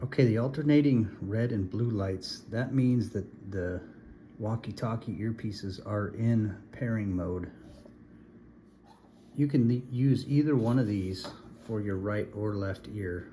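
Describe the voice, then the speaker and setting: Low-voiced man demonstrating a product, noisy recording. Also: unhurried, dark tone. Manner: plainspoken, step-by-step instructing. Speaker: product reviewer. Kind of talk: casual hands-on product demonstration.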